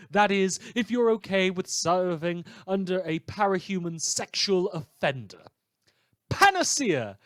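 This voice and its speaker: A man playing a snide, theatrical pirate radio news host, reading mock bulletins with sarcastic, drawn-out emphasis. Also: on the high side, no room sound, studio quality. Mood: scornful. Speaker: fictional radio show host.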